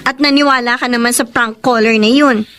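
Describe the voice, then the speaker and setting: A woman's voice: on the high side, steady tone, a little fast, a bit bright, close to an everyday voice. A woman playing a stubborn young woman in love in a Filipino radio drama, emotional and protesting, with a Filipino accent. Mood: indignant, pleading.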